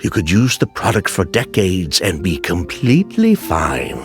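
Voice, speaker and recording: A man's voice: deep voice, wildly swooping pitch, a little slow, music in the background, heavily acted. An actor playing an evasive, bitter male ex-convict merchant in a video game, sighing and justifying himself dramatically. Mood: defensive.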